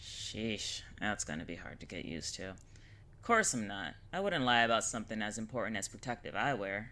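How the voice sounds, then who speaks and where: A woman's voice: rumbling low, lively intonation, normal speed, sharp tone, heavily acted. A woman playing a brash, jaded witch in an audio drama, speaking with wry, breezy, theatrical sarcasm.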